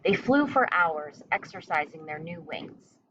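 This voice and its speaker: A woman's voice: sits low, animated, a little slow, a bit bright, far from the mic, lo-fi sound, a little hiss or hum. A woman reading a children's story aloud as narrator. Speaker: storybook reader.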